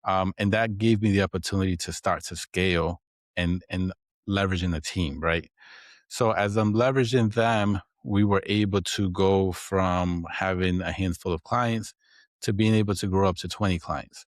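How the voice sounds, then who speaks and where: A man's voice: deep voice, normal speed, dry recording, studio quality. A man from the Dominican Republic with a Dominican Spanish accent, a podcast guest explaining his work and often tagging his points with "right?". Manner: explanatory, frequent "right?" tags. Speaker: guest, accounting firm owner. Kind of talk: podcast interview.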